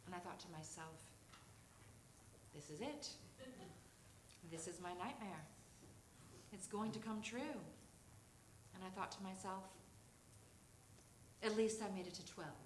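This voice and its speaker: A woman reading a comic personal story live on stage, theatrically, with deliberate pauses. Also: lowish voice. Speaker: reader of a humorous memoir story. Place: theatre stage before an audience. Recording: roomy, noisy live stage recording.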